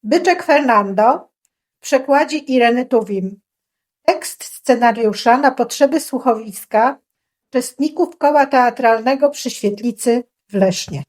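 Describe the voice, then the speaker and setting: A woman's voice: fairly high pitch, measured pace. A woman announcing and narrating a scripted children's storybook play.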